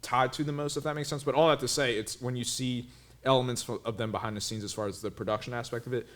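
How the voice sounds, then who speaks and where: A man's voice: medium pitch, steady tone, fast talker, fairly bright tone. A man co-hosting a reaction video, explaining at length in a rambling, enthusiastic way with many restarts. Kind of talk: casual reaction commentary.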